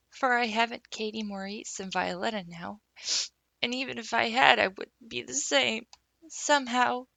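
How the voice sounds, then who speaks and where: A woman's voice: a little high, vocal fry, pushed and bright, dry recording, voice pushed up. A woman voicing a dramatic, romantic young orphan girl who speaks in flowery, earnest phrases. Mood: melodramatic.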